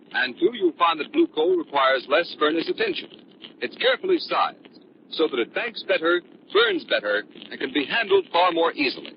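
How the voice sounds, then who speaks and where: A man reading a scripted 1930s radio advertisement as announcer, emphatic and salesmanlike. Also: fairly high pitch. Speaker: commercial announcer. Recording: muffled, faintly noisy 1930s radio recording.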